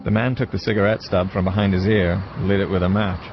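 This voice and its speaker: A man's voice: deep voice, level tone, quick pace. An actor playing an observant young man narrating in the first person, in a scripted audio drama. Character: young man narrating his night-time encounter, observant. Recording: muffled, noisy night ambience.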